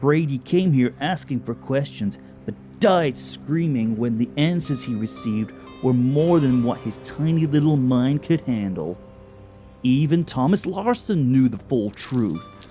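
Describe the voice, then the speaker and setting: A man's voice: medium pitch, animated, measured pace, dark tone, faint music behind, lightly acted. A man playing an alien villain who poses as a brusque American police detective in an audio drama, smug and theatrical. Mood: sly, explanatory.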